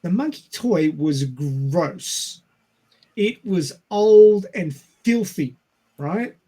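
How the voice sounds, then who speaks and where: A man's voice: high-pitched, expressive, extremely slow, dark tone. A man co-hosting a casual film discussion, explaining his points and posing rhetorical questions. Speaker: podcast co-host.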